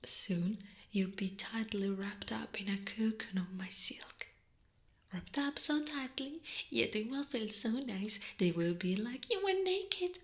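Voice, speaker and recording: Woman as a slow, whispering spider seductress. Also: a little high, big pitch swings, fairly bright tone, full character voice. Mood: smug, possessive. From Italy. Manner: breathy whispering, slow and deliberate. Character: giant possessive seductive female spider monster. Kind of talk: whispered ASMR roleplay.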